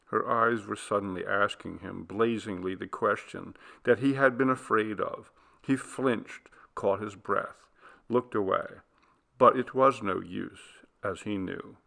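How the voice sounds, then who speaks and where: A man narrates a classic ghost story with solemn drama. His delivery is slow and deliberate, with a gravelly fry in the voice and a literary audiobook style. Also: on the low side, fairly bright tone. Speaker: audiobook reader.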